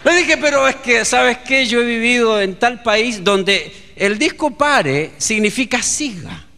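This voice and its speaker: A Chilean man preaches in a bright, sharp voice that swings widely in pitch. He takes his time and tells his story like a storyteller. A long reverb from the hall trails each phrase. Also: lively intonation, a little slow, creaky, a little hiss or hum. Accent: Chilean Spanish. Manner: storytelling preacher, acting out dialogue. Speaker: pastor. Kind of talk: sermon.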